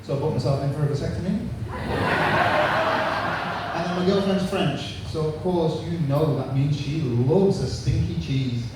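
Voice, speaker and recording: A man's voice: fairly high pitch, moderate pace. Man, 30s, Manchester accent, stand-up comic, punchy crude jokes, noisy reverberant pub stage. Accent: Manchester English. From England. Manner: punchy crude joke delivery. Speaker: stand-up comedian. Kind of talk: stand-up set. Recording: echoey room from far off, noisy.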